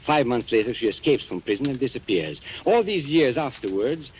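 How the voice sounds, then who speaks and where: A man's voice: medium pitch, lively intonation, moderate pace, darkish tone, natural voice, low-quality recording. A man playing a suave, menacing antique dealer and blackmailer in an old radio mystery, polite, smug and theatrical. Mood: menacing, taunting. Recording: old radio recording with background hiss.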